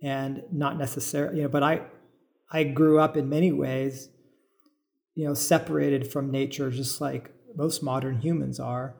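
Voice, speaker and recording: A man's voice: mid-range voice, level tone, normal speed, gravelly, darkish tone. A middle-aged American man giving thoughtful, informal explanations as an interview guest, thinking aloud as he goes. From suburban California, United States. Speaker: podcast guest, biologist turned farmer. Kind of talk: conversational interview answers, explanatory.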